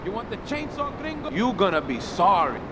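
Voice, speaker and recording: An actor playing a menacing street gangster in a video game, taunting and threatening. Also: male voice, high-pitched, sing-song, a little fast, very noisy. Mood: taunting menace. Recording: very loud gunfire over voice.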